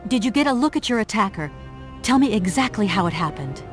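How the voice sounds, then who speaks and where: A woman's voice: mid-range voice, brisk, soft background music, close to an everyday voice. A woman playing a plucky, practical young adventurer in a video game, with a natural, wry delivery. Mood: concerned. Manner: natural, expressive, casually wry. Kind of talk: video game character acting.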